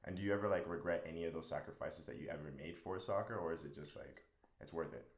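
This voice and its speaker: A man hosting an interview, asking brisk, short questions and quick follow-ups. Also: extremely low pitch. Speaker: interviewer host. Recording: roomy echo.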